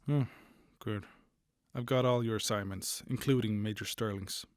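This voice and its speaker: A man's voice: on the low side, steady tone, moderate pace, a little hiss or hum, close to an everyday voice. A man playing a petty, officious military major in an audio drama, hesitant and bureaucratic as he gives orders. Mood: brisk, formal. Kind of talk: post-apocalyptic audio drama acting.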